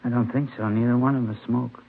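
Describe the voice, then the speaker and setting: An actor playing a shaken storekeeper in a 1950s radio crime drama, halting, polite and uncertain, with a gravelly voice. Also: male voice, medium pitch, steady tone, phone-quality sound, lightly acted. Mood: subdued, uncertain. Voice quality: gravelly, creaky. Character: shaken, hesitant male storekeeper, holdup victim. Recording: thin, faintly noisy vintage radio recording.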